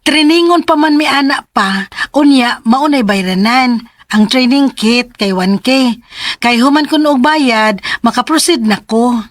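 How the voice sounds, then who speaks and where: A woman's voice: sits high, a little slow, no echo, clean studio sound. An actress in her twenties with a Cebuano Filipino accent, playing a hopeful, trusting young mother in a radio drama. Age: 20s.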